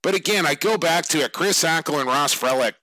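A man with a slightly raspy, hoarse voice, hosting a casual sports talk show and rambling conversationally with lots of fillers. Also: a little high, brisk, bright, forceful tone, dry recording, low-quality recording. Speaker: lacrosse podcast host.

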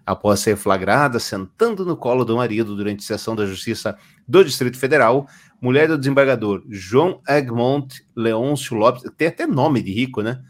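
A Brazilian man co-hosting a podcast, reading news stories aloud and adding dry, sarcastic comments. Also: mid-range voice.

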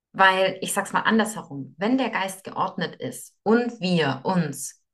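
A young woman who grew up in Russia speaks as a podcast guest. Her voice sits slightly low, and she explains her ideas earnestly, often circling back and repeating words as she thinks aloud. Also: fairly bright tone. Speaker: yoga teacher and guest. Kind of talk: conversational podcast interview.

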